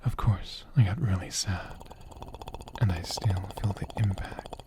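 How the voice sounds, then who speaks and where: A man speaking in a gentle, intimate, soft-spoken ASMR style as a creator addressing an audience. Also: sits low, unhurried.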